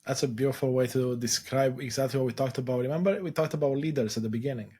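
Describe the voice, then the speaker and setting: A man talks in a relaxed, reflective podcast conversation. His voice is husky and a little breathy, and it sits at a middling pitch. He keeps affirming points and tags his sentences with "right?". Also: fast talker. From Romania. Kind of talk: casual conversational podcast chat.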